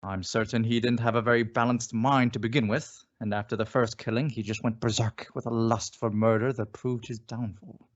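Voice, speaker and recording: Man acting a resolute avenger, authoritative male voice, medium pitch, dramatic old-time radio style. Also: level tone, normal speed, dull audio, natural voice. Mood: explanatory. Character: commanding, resolute male crime-fighting avenger.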